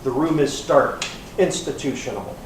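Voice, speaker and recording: A man's voice: mid-range voice, expressive, normal speed. Male stage-reading narrator, echoey and distant. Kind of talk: scripted narration in readers theater. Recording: echoey hall, distant mic, faint noise.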